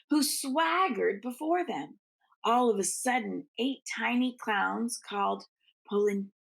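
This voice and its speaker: Creaky-voiced woman reading a children's storybook. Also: medium pitch, low-quality recording. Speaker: teacher reading aloud to students. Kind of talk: children's storybook read-aloud.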